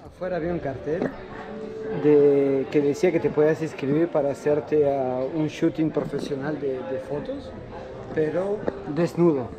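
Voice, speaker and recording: A man's voice: fairly high pitch, normal speed, lo-fi sound, music in the background. A young man, chatting and teasing as he films a casual vlog tour on busy city streets. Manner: chatty, teasing, laughs often. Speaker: vlogger.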